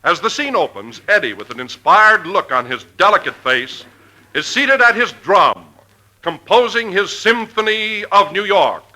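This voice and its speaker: A man's voice: sits high, very animated, a little slow, sharp tone, heavily acted, rough recording. A man playing a grandiose melodrama narrator in a 1940s radio parody, solemn and declamatory. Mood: grand, portentous. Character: grandiose melodramatic narrator of a big-city drama. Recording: old 1940s radio broadcast.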